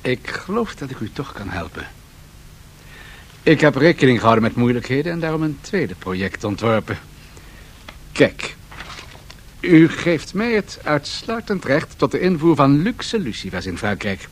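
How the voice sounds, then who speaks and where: An actor playing a shrewd, unyielding Swedish business magnate who bargains in a calculated way, in radio drama style. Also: male voice, medium pitch, sing-song, a little slow. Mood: polite, calculating. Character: shrewd, unyielding Swedish match tycoon negotiating huge deals. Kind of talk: 1970s Dutch radio drama acting. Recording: noisy 1970s radio drama recording.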